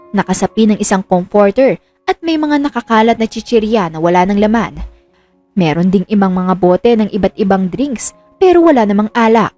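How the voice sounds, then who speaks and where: A woman's voice: medium pitch, darkish tone. A woman reading scripted narration of a romantic drama as the storyteller. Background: music.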